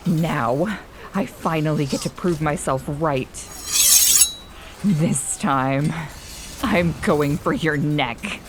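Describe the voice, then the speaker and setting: Low, very slow pirate villain, menacing, taunting, vengeful, audio drama with background noise. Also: female voice. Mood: gloating, threatening. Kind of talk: cinematic audio roleplay acting.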